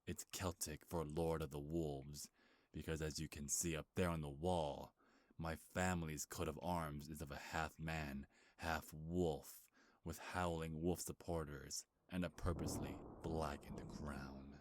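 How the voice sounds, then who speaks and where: A man's voice: very deep voice, fairly even intonation, measured pace, no room sound. A man playing an ancient, bitter, grieving beast king in a dramatic ASMR roleplay, brooding and menacing with heavy sighs. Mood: brooding, proud.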